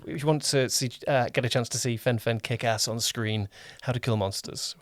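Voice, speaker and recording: A man's voice: medium pitch, moderate pace, no room sound, clean studio sound. A man hosting a casual interview, tentatively rephrasing his questions as he goes. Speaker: podcast host, producer.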